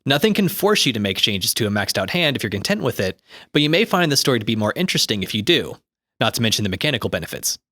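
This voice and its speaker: A man's voice: mid-range voice, sing-song, fast, sharp tone, no echo. A man reading scripted explanatory narration in an articulate, instructive way, like an audiobook of a guide. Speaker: co-host reading game rulebook commentary.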